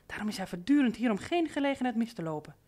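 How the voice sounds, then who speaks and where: A woman's voice: fairly high pitch, sing-song, fast, dark tone. A woman playing a bossy, familiar housekeeper in a radio drama, reproachful and chiding. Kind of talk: radio drama acting in Dutch.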